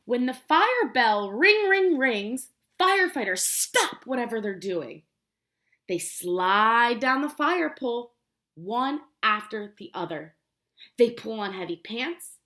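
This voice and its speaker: An American woman, a preschool teacher, reading a picture book aloud in simple, expressive language and prompting young children with questions. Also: fairly high pitch.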